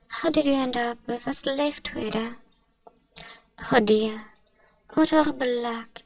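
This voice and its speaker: A woman acts out a doting vampire noblewoman in an ASMR roleplay. Her voice is on the high side with a touch of creak, recorded close and fairly dry of room. She speaks soothingly and politely, like a possessive but kind owner. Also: darkish tone, no echo, distant mic, rough recording. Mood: sympathetic. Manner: soothing, genteel, addresses listener as dear. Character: gentle wealthy female vampire, doting, genteel, possessive owner. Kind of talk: ASMR roleplay, soft scripted acting.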